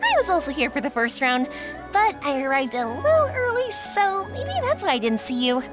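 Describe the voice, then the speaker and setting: An upbeat, friendly young woman in a video game. Her voice is extremely high and bounces around with chatty energy. Music plays clearly behind. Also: big pitch swings, moderate pace. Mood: cheerful. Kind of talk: English video game voice acting.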